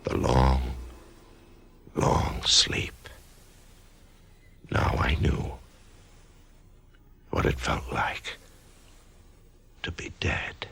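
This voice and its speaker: An actor playing a dazed male writer who narrates and wakes from surgery, in radio drama style, with a hushed, halting voice. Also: extremely low pitch, whispered, exaggerated voice. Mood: hushed, haunted. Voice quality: gravelly, hushed. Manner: halting, stammering when shaken. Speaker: narrator and lead character.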